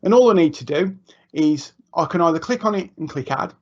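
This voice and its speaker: A fairly high-voiced man talks teachers through a software demo in a loose, chatty way, full of ums and self-corrections. The sound is dull and lo-fi, like a live online stream. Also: animated, fairly quick. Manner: chatty, informal, many ums and restarts. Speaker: education technology trainer. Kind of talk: live screen-share tutorial. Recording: muffled lo-fi online stream audio.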